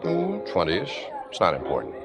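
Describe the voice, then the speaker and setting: Deep-voiced, easygoing playwright. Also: male voice, huge pitch swings, normal speed, music in the background. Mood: placating. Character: easygoing, soothing male playwright friend. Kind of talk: 1940s-50s radio acting.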